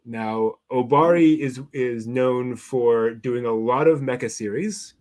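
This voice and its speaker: A man co-hosting an anime news show in a casual, halting way, as if searching through his notes while he talks. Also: medium pitch, a little mellow.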